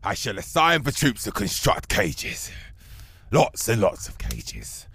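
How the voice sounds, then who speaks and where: Low, husky, boastful werewolf commander. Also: male voice, huge pitch swings, takes it slowly, pushed and bright, no room sound, full character voice. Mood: boastful, servile. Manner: obsequious, bragging, theatrical. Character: fearsome male werewolf army commander, boastful yet servile. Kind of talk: comic fantasy audio drama.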